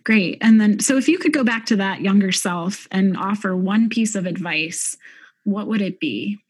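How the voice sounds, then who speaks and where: Woman podcast host, husky-breathy voice, gentle, dry sound. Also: medium pitch, no room sound. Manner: gentle, affirming, slightly halting questions. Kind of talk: interview podcast.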